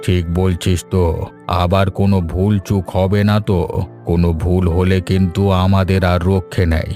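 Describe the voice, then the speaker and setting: A man acts out a worried village uncle in a horror cartoon. His voice is deep, earnest and grave, with music behind it. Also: level tone, moderate pace, mellow, natural voice. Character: cautious, worried village uncle, protective elder. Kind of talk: scripted Bengali horror cartoon acting.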